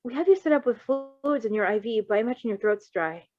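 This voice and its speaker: A woman voicing a kindly stranger who tends a patient, gentle and solicitous in a story reading. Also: on the high side, lively intonation, fast, dull audio, soft background music, natural voice. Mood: gentle, concerned.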